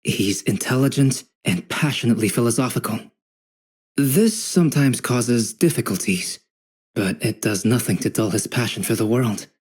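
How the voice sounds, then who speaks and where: A man voicing a calm, scholarly young alchemist in a video game, speaking in a measured, reflective and softly formal way. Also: mid-range voice, breathy edge, natural voice. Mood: thoughtful, fond. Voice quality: gentle, calm. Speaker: voice actor playing a video game character. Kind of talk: scripted game character voice lines.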